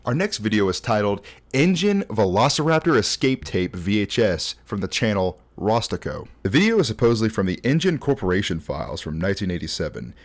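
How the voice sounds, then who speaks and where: A man's voice: lowish voice, big pitch swings, a little fast, hardly any pauses. A young man in his twenties hosting a casual, conversational video essay, talking informally through scripted commentary. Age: 20s. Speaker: host.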